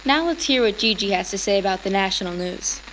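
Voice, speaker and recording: A woman's voice: mid-range voice, lively intonation, fairly quick, close to an everyday voice. A woman acting as a 1930s radio anchor speaks in a mid-range voice. She hosts the evening news with formal scripted delivery, over faint background noise. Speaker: host.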